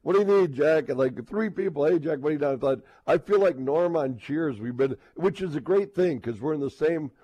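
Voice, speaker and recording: Male American sportscaster and radio host, lightly raised pitch, loose talkative banter, re-enacts conversations as he rambles. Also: a little fast, few pauses, mellow, slightly rough, muffled recording. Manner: chatty, rambling, acts out quoted exchanges.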